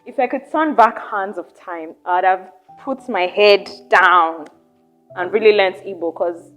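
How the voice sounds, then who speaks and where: A young woman from Nigeria hosting a casual podcast chat, animated and chatty, often trailing off and restarting her thoughts. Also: medium pitch, unhurried, faint music behind. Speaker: podcast host.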